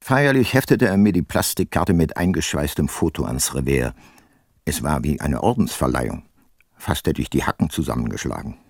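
An actor playing a wry, unhurried middle-aged private detective in a radio drama, with a dry, laconic, ironic manner. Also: male voice, extremely low pitch, expressive, gravelly, slightly rough, no echo, full character voice. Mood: wry, reflective. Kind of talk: radio drama narration and dialogue.

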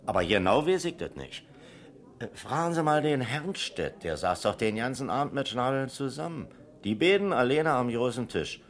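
An actor playing a down-to-earth, long-serving pub landlord in a German radio crime drama, colloquial and emphatic, with a Berlin accent. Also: male voice, medium pitch, sing-song, normal speed. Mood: unsure, helpful.

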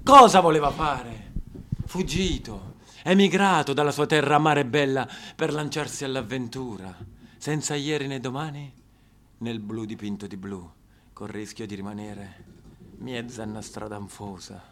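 A man delivering an exasperated comic monologue on a theater stage, swinging from loud rants to hushed, dramatic lines. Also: mid-range voice, normal speed. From Apulia, Italy. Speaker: stage actor.

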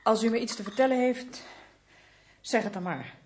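An actress playing an anxious, polite, restrained daughter facing bad news in a radio drama. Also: female voice, on the high side, normal speed, a little hiss or hum, lightly acted. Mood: polite, apprehensive.

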